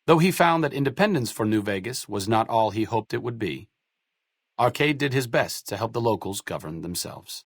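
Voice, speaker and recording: A man narrating video game epilogues in a measured, solemn storytelling manner. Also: medium pitch, expressive, husky, no echo, clean studio sound. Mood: somber, measured. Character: somber male narrator telling a companion's fate.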